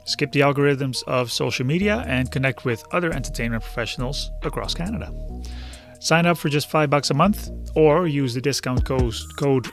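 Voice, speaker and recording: A man's voice: medium pitch, normal speed. A man reading a scripted promotional spot as an announcer. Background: music bed.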